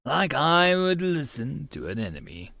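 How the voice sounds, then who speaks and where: Expressive male narrator with lively pitch. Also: measured pace, gravelly, dry recording, studio quality. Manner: expressive, with informal asides. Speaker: audiobook narrator. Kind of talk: first-person narration of a visual novel.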